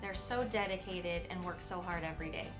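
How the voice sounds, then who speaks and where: A woman's voice: on the low side, fairly quick, music in the background. Upbeat woman narrating a school video. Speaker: multilingual instructional coach.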